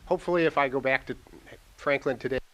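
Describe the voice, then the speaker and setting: A man's voice: mid-range voice, fast talker, a bit bright, slight background noise, rough recording. A man in the audience telling a reflective personal story. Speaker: audience member.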